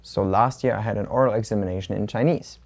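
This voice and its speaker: Woman, slight german accent, super low dark voice, calm translating. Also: fast talker. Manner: thoughtful, translates back calmly. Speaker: co-host learner. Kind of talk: casual lesson dialogue.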